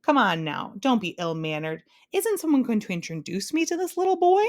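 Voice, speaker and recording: A woman's voice: fairly high pitch, sing-song, a little fast, no room sound, clean studio sound, pitched above the performer's own voice. A woman voicing a boastful, blustering male humbug bug from a children's storybook, grand and indignant.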